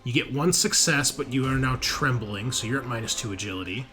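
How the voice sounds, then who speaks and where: A man's voice: mid-range voice, brisk, bright, forceful tone. Man running a tabletop game over music. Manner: thinks aloud while adjudicating rules. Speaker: game master. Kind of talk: narrating a tabletop roleplaying game. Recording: background music under voice.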